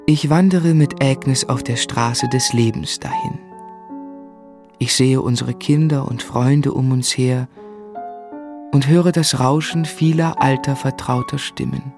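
An actor playing a tender, reflective novelist looking back on his life in a measured, earnest radio drama delivery. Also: mid-range voice, fairly even intonation. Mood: serene, nostalgic. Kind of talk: literary radio drama narration and dialogue. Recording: studio radio play with music underneath.

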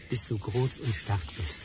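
Gentle, protective male researcher. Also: lowish voice, heavy background noise. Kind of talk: radio play acting.

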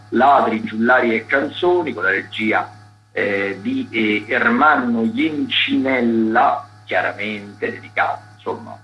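A man presents culture listings on the radio in a chatty, halting way, digressing and correcting himself. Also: on the low side, sharp tone, poor audio. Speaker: radio culture critic presenting a theatre agenda. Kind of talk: chatty live listings commentary.

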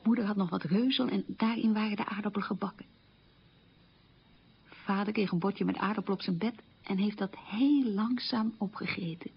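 A resilient woman looking back on hard times in a radio play. Her voice is very husky with plenty of creak, and she tells it plainly and without hurry. The recording is dull and lo-fi. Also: medium pitch, moderate pace. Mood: reflective. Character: resilient woman recalling a poor, hungry wartime childhood. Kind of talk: radio documentary-drama monologue, recounting memories.

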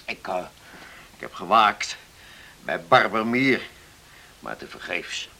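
An actor playing a weary, gruff but good-hearted island seaman in a radio drama, with a gravelly, husky voice and plain-spoken manner. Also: lowish voice, sing-song, takes it slowly, sharp tone, exaggerated voice.

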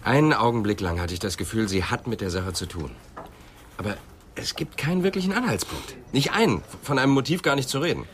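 A man's voice: mid-range voice, expressive, brisk, fairly bright tone, a little hiss or hum, lightly acted. An actor playing a persistent, sceptical male police inspector who questions tersely in a radio crime drama. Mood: doubtful. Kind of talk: German radio drama dialogue.

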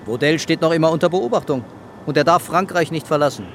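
Male actor, insistent commissioner, lighter-pitched, formal, measured, clear traffic noise, radio drama. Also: lightly acted. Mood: firm. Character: brisk, insistent male police commissioner giving orders.

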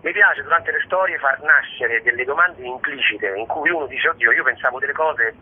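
A man speaks as a guest in a radio interview, his voice husky and slightly clouded. He talks in an expressive, discursive storyteller's way, turning to rhetorical asides. The sound is narrow and thin, like a telephone call on air. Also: medium pitch, brisk. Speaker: guest, stage actor and storyteller.

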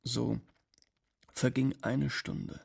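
A man reading a first-person short story dramatically, with deliberate phrasing and shifts in volume. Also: mid-range voice, fairly even intonation, creaky, a little mellow, slightly rough.

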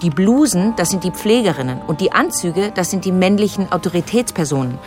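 An actress playing an insistent, probing adult daughter with a pointed manner in a naturalistic radio drama. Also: female voice, fairly low pitch, animated, a little fast, music in the background, natural voice. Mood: earnest, insistent. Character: insistent, accusing grown daughter digging up family past.

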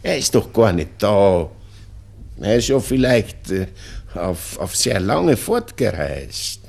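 An actor playing an anxious, doting old father with a Viennese accent in a radio drama, pleading haltingly and repeating himself. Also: on the low side, sing-song, vocal fry, darkish tone, heavily acted. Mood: anxious, tender. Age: older. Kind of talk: 1960s German-language radio drama acting.

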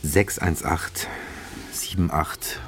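A man's voice: low-pitched, steady tone, takes it slowly, fairly bright tone. A man speaking as a radio host in a conversational presenting style. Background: music.

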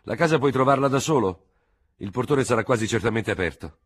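A man's voice: medium pitch, brisk, breathy edge, pitched above the performer's own voice, natural voice. A man voicing a curt, rattled young lieutenant. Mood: curt, urgent. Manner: terse, irritated.

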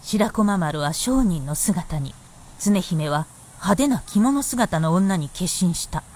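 A woman's voice: lowish voice, animated, takes it slowly. A woman delivering scripted narration and credits for a radio drama, as its narrator. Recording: loud music behind the narration.

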